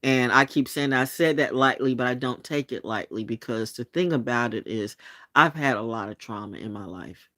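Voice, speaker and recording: Sixty-something woman hosting a late-night vlog, blunt and profane, lively, expressive delivery, dry room. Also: a bass voice, no echo. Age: 60s. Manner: blunt and profane, with laughs and restarts. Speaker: channel host.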